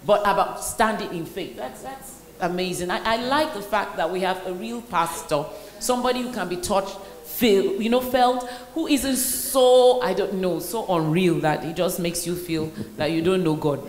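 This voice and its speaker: Nigerian woman host, animated and laughing, long hall reverb. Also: on the low side, moderate pace, few pauses. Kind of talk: lively onstage conversation. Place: large hall. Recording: echoey hall with faint noise.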